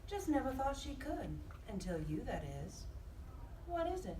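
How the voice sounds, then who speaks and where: A woman playing a gentle but brisk spirit guide in a live audio drama. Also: high-pitched, very animated, a little hiss or hum. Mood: gentle, earnest. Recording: echoey live stage, distant, faint music.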